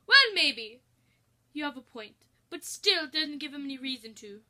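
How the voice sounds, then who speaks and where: A woman's voice: sits high, big pitch swings, normal speed, bright, forceful tone, pitched above the performer's own voice, heavily acted. A woman voicing a fussy, anxious male pizzeria chef with a hesitant manner, in a story reading. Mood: reproachful. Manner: hesitant, fussing.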